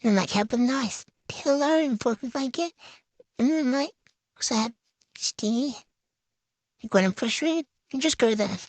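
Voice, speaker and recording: A woman's voice: sits high, animated, unhurried, pauses often, a little mellow, dry recording. A woman giving a casual, chatty show-and-tell voice-over in a hesitant, unscripted way that tends to trail off. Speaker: vlogger.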